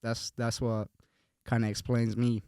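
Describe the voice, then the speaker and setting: A young man from Finland, a podcast guest, speaks at a mid pitch in a laid-back, easygoing way. He often repeats words as he searches for what to say. The recording is close and dry. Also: level tone, unhurried, mellow, clear, resonant tone, no room sound. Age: young adult. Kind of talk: casual conversation.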